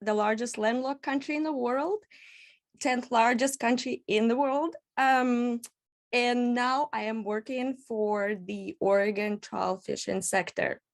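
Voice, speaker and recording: A woman's voice: fairly high pitch, steady tone. Woman from Kazakhstan giving a talk. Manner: measured, with frequent "um" pauses. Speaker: executive director, invited speaker. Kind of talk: presentation at a public panel.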